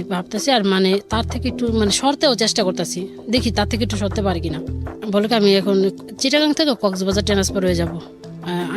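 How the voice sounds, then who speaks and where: A woman guest telling a personal story informally, rambling and quoting dialogue as she goes. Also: medium pitch. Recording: music bed under the voice. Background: background music.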